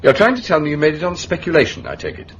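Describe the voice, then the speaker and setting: An actor playing an urbane, shrewd gentleman detective with an upper-class English accent and a dry, wry wit in a radio drama. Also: fairly high pitch, wildly swooping pitch, rapid-fire. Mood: sly, amused. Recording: dull, hissy radio recording.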